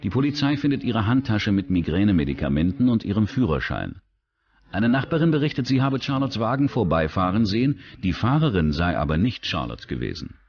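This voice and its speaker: A man's voice: lowish voice, sing-song, brisk, highs cut off. A man reading a scripted true-crime documentary as its narrator.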